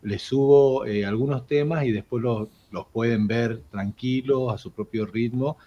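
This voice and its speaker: A man's voice: mid-range voice, unhurried. A middle-aged Argentine man and English teacher, talking casually and explaining at length with many fillers. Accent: Argentine Spanish. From Argentina, Jujuy province. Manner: relaxed, explanatory, with frequent fillers. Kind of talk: casual interview conversation. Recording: dull lo-fi call audio with faint noise.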